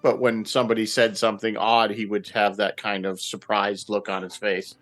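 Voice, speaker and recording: A man's voice: lowish voice, flat delivery, normal speed, a bit bright, soft background music. A man in a casual roundtable discussion, reasoning things through in an explanatory way.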